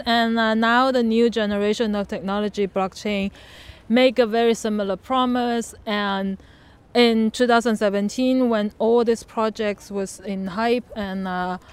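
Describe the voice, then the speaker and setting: A woman presenting her project at a panel discussion, speaking thoughtfully with searching pauses and fillers. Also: mid-range voice, clean tone. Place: museum venue.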